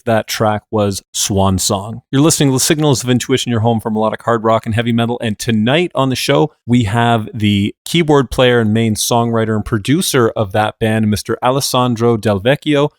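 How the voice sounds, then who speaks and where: A man's voice: mid-range voice, moderate pace, hardly any pauses, no room sound, studio quality. Conversational male radio host. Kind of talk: radio interview.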